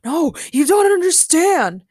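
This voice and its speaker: A crazed male treasure thief, acted out by a woman storyteller, excitable and insistent. He pleads his case emphatically in a high, frantic voice, like a storybook oddball. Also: a little slow, dry recording, studio quality, exaggerated voice.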